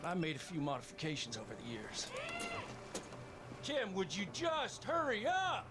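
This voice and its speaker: A man's voice: medium pitch, fast talker, very noisy, natural voice. An actor playing a hot-tempered, foul-mouthed male bush pilot who breaks into swearing, emotional outbursts. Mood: excited. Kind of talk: video game cutscene acting.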